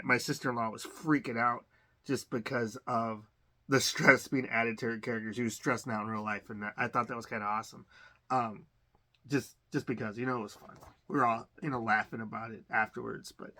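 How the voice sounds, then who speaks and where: Bright-voiced man chatting through an unboxing. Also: mid-range voice, steady tone, normal speed. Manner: hesitant, rambling, thinking aloud. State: under the weather, occasional cough. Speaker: host of a collector's unboxing channel. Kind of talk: casual unboxing commentary.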